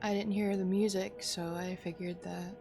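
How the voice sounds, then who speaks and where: A woman's voice: mid-range voice, flat delivery, moderate pace, vocal fry, over music. A woman live streamer giving casual commentary as she plays a game.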